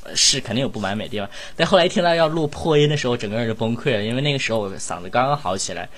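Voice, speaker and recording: A man's voice: medium pitch, animated, rapid-fire, a bit bright. A man who works as a voice actor, hosting a casual cast Q&A with playful banter. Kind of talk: casual group chat, reading out questions.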